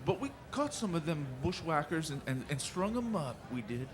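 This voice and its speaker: An actor playing a simple, scrawny drifter with a rural American accent, hesitant and folksy, in a western radio drama. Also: male voice, a little high, very animated, moderate pace. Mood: naive, unguarded. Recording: music behind the voice.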